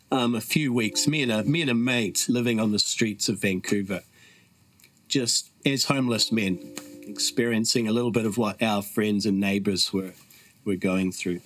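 A man's voice: lowish voice, a bit bright, low-quality recording. A middle-aged man with a New Zealand accent, a podcast guest telling stories in a reflective, earnest way. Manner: reflective, earnest, with frequent fillers. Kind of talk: casual conversational storytelling.

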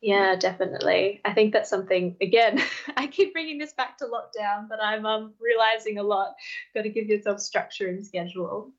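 A woman's voice: mid-range voice, moderate pace, muffled recording. A woman co-hosting a radio show, interviewing in a chatty, informal way. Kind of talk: conversational interviewing.

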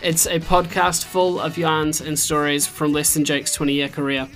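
A man with a New Zealand accent hosting a podcast interview over a video call, chatty and excitable, swearing casually. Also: on the high side, normal speed, faint music behind. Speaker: podcast host.

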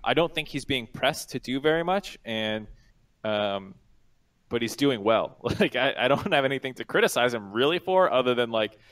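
A man's voice: mid-range voice, lively intonation, moderate pace, fairly bright tone. A man giving casual analysis as an esports panelist, with loose, slangy, sometimes profane phrasing. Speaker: panelist on an esports talk show.

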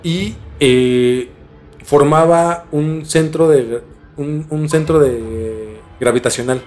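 A man with a Mexican accent in a casual, colloquial podcast conversation, explaining a story in a halting, conversational way. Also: a little high, a little mellow. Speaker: podcast host telling a case. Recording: music under the voice. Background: background music.

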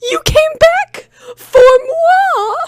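A woman voicing a naive, swooning young girl, shrill and overwrought, in a comic dramatic reading. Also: lively intonation, extremely slow, slight background noise, full character voice. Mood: swooning, tearful.

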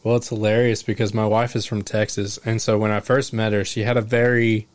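Flat, smooth-voiced Oklahoma man. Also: lowish voice, a little fast, dry recording. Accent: Oklahoman, mild. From Oklahoma, USA. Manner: rambling, filler-heavy, laughs often. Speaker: conversation partner. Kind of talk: casual chat.

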